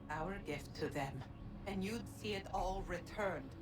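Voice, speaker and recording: A woman playing an ancient godlike being in a video game, speaking in a solemn, formal, measured and regretful way. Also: lowish voice, natural voice, low-quality recording. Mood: urgent, pleading. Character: ancient female godlike precursor, grave and regretful. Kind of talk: dramatic video game cutscene acting.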